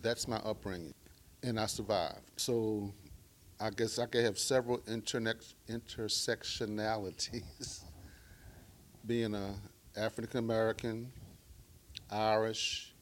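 A middle-aged man from Baltimore, Maryland, who stutters, speaking in a deliberate, measured way at a live talk. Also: lowish voice, steady tone, a little hiss or hum. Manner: deliberate, measured speech using stuttering techniques. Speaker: speaker sharing his story.

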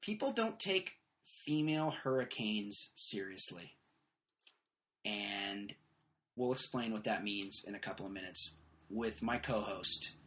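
A man hosting a casual comedy podcast, riffing with opinionated, quick takes. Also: medium pitch, sharp tone. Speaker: podcast host.

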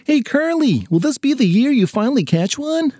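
A man's voice: extremely high pitch, very animated, moderate pace, dark tone, dry recording, studio quality, voice pushed up, heavily acted. A man voicing a nosy, taunting young schoolchild in a children's story. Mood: teasing, curious.

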